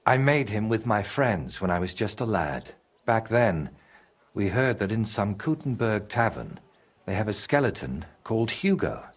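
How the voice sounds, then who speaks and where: An actor playing a troubled, guilt-ridden medieval painter in a video game, with a husky, halting and brooding delivery. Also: male voice, fairly low pitch, big pitch swings. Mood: wistful. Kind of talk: video game dramatic dialogue.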